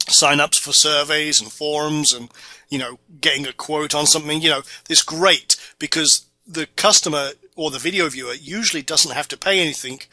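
A middle-aged Scottish man talks through a marketing course with a very bright, sharp-edged tone. His pitch sits a little high, and he sounds loose and salesy, full of "uh" and "you know". The recording is dry and close, with no room sound. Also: dry recording. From Orkney Islands, Scotland. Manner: casual, filler-heavy sales pitch. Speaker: online course presenter. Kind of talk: narrated slideshow course video.

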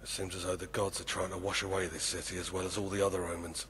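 An actor playing a weary townsman in a fantasy game, speaking in a measured, foreboding way. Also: low voice, little pitch movement, slightly rough, full character voice. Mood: grim. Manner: dark, measured, foreboding delivery. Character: grim weary townsman warning of his failing city. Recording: game audio with long reverb and faint ambience.

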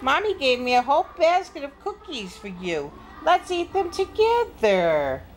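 A woman's voice: sits high, sing-song, a little slow, a little hiss or hum, voice pushed up. A woman voicing a sweet, polite and plucky little storybook girl in a sing-song, wide-eyed way. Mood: excited.

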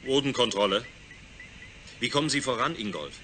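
A man's voice: medium pitch, animated, moderate pace, pushed and bright, slight background noise. An actor playing a composed, exacting male ground controller giving matter-of-fact instructions in a radio play.

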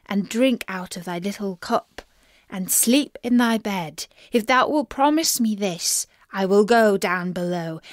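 Woman as an insistent, slow-talking frog. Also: medium pitch, dry recording, studio quality, close to an everyday voice. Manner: earnest old-fashioned speech.

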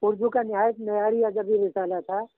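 An older man teaching Urdu literature, slowly and patiently dictating references to a student. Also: high voice, flat delivery, no room sound. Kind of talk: guiding a student over the phone. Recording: tinny phone line.

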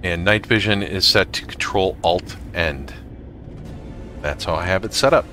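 Slow, low-voiced man giving a tutorial. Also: bright, forceful tone, faint music behind. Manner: halting, pausing mid-sentence. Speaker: gamer making a tutorial video. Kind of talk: casual software tutorial narration.

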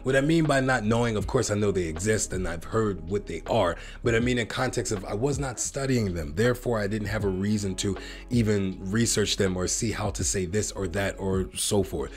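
A man's voice: fairly low pitch, moderate pace. An American man in a casual vlog, rambling a bit and talking straight to his viewers, often calling them guys. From United States. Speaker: vlogger. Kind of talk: casual reaction vlog to camera.

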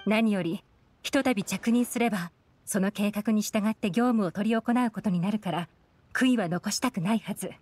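A woman's voice: medium pitch, normal speed, darkish tone, no room sound, close to an everyday voice. An actress playing a composed, shrewd young female inspector in a Japanese fantasy video game, cool and poised. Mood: cool, confident. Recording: music behind the voice.

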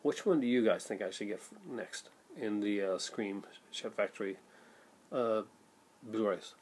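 A man's voice: fairly low pitch, measured pace, darkish tone, a little hiss or hum. A middle-aged man vlogging casually to camera at home, rambling with many fillers and restarts. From Canada. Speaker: vlogger, film collector. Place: home room with shelves of films, indoors. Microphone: built-in webcam mic at desk.

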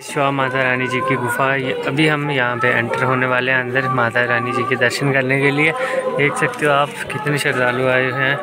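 A man's voice: mid-range voice, level tone, brisk. A young man in his twenties talking casually to viewers on a travel vlog, filmed on a phone held at arm's length outdoors. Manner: chatty, addresses viewers directly, joking asides. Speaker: vlogger. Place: outdoors on a hill road and temple grounds. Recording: loud wind and road noise.

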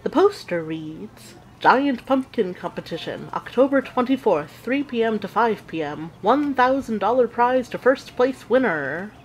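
A woman playing a witch who narrates an audio drama with measured, deliberate storytelling. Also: fairly high pitch, expressive, a little hiss or hum, poor audio.

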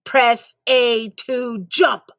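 Storybook drill sergeant, played by a woman: stern, very deliberate, emphatic orders. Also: a little high, fairly even intonation, a bit bright, no echo, studio quality, exaggerated voice. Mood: commanding. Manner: clipped, emphatic, deliberate commands. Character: stern, single-minded male drill sergeant, robotically repeating game instructions.

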